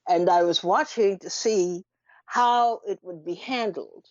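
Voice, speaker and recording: A woman giving unscripted radio commentary in an emphatic, deliberate manner, with rhetorical questions and pauses. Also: fairly low pitch, dry recording. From Trinidad. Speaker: radio talk show host. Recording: dull lo-fi radio audio.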